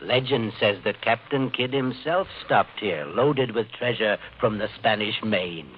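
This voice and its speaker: An actor playing a sly, gregarious beachcomber in a 1950s radio drama, with a wry, theatrical delivery. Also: male voice, fairly low pitch, measured pace, natural voice. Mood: theatrical storytelling. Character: sly, gregarious beachcomber painter, flattering and mocking. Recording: noisy, lo-fi 1950s radio recording.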